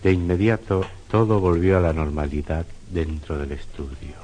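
A man's voice: low-pitched, little pitch movement, normal speed, mellow, slight background noise. A man narrating a scripted horror radio drama in a solemn, dramatic style. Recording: dull lo-fi radio recording. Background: faint music.

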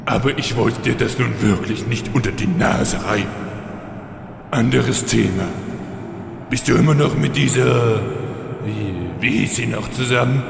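A man's voice: mid-range voice, measured pace, creaky. An actor playing a smug undead villain king in a parody fantasy audio drama, drawling and taunting with feigned innocence. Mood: smug needling. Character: smug undead Lich King, teasing, mock-friendly villain. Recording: very echoey reverberant hall, faint noise.

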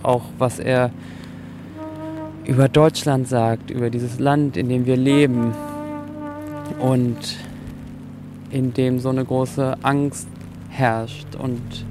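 A man of middling pitch talks in a flat, even tone, reflecting and searching for his words. Clear music plays behind him. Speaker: interviewee. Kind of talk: reflective spontaneous talk.

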